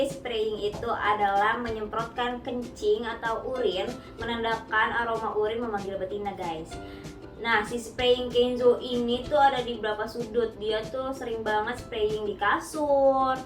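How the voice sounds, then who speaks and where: A young woman chatting casually to viewers, sharing tips about caring for her cat, mixing in English words and often calling the audience guys. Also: fairly high pitch. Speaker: cat owner sharing pet care tips. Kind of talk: casual vlog talk to viewers. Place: home studio. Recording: echoey room, heard from a distance, music behind.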